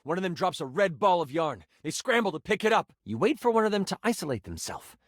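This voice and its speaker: An actor playing a theatrical game master who narrates fantasy battles in a video game, savoring key words like a storyteller. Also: male voice, sits high, big pitch swings, fast talker, a bit bright, no echo, studio quality, exaggerated voice. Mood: storytelling. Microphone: close studio mic.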